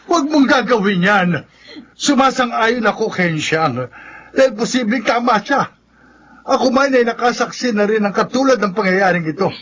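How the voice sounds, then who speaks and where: A man's voice: high voice, animated, normal speed, fairly bright tone. An actor playing a stern, cautious old father in a horror radio drama, giving firm and urgent warnings.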